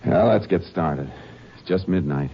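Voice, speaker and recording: A man's voice: sits low, a little slow, noisy background. An actor in a 1950s radio drama playing a laconic, dry Texas Ranger who narrates his own case. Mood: wry. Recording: dull old radio broadcast with music.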